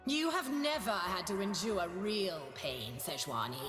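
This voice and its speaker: A woman's voice: mid-range voice, very animated, measured pace. A woman voicing an imperious ice queen sorceress for a video game, cold, scornful and formal in her speech. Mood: commanding contempt. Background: music.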